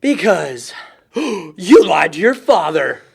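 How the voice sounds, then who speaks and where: Goofy young man played by an actor, high, lively. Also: slow pace, vocal fry, slightly rough, heavily acted. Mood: needling. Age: young adult. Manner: playful, joking, needling. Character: goofy, teasing young man, carefree party-throwing best friend. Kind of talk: naturalistic amateur film dialogue.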